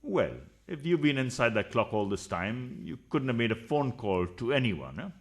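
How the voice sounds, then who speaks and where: A man plays a philandering husband in a radio farce. His rough, husky voice is mid-pitched but jumps about widely. He blusters and accuses, suspicious and tight-fisted. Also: sing-song, normal speed. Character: blustering, suspicious, stingy male adulterous husband. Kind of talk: farcical radio play acting.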